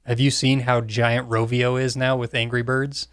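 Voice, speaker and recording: A man chats as a podcast panelist in a middle-pitched voice. He is informal and puts emphasis into his points. The sound is dry, with hardly any room. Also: flat delivery, normal speed, a bit bright, smooth, no echo. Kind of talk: casual chat.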